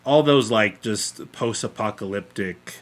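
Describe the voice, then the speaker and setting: A man co-hosting a podcast, giving animated, opinionated takes on films in casual conversation. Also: lowish voice, a little slow. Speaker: podcast co-host. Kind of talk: casual movie review chat.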